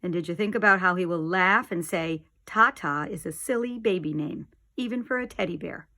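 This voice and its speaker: A woman's voice: on the low side, normal speed, sharp tone. A woman voicing a teasing older sister in a storybook, needling with pointed, sing-song questions.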